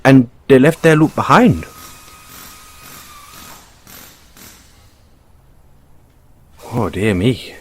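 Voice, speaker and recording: A man voicing a polite, inquisitive Irish bunny-boy car mechanic in an audio roleplay, with an Irish accent and a gently probing, wryly understated, hesitant way of talking. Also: medium pitch, no room sound. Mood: surprised, sympathetic.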